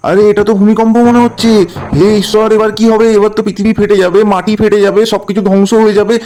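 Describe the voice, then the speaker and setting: A man acts out an alarmed father in a puppet cartoon. His voice is high and hurried, and he barks urgent, scolding orders over and over with melodramatic flair. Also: level tone, darkish tone, a little hiss or hum, close to an everyday voice. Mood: panicked. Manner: urgent, scolding, repeats commands. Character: alarmed, bossy village father doll. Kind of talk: melodramatic puppet-story voice acting.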